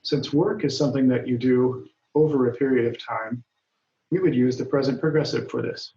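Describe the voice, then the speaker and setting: A man teaching an English grammar lesson, speaking slowly and deliberately, and raising his voice when he reads example dialogues aloud. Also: medium pitch, steady tone, dark tone. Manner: patient, deliberate, lifts his voice when reading quoted lines. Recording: dull, roomy sound from a distance.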